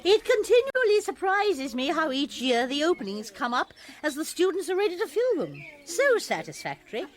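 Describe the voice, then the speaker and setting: An actress with a British accent playing a brisk, authoritative, proud college headmistress in a radio drama. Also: female voice, extremely high pitch, sing-song. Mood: satisfied.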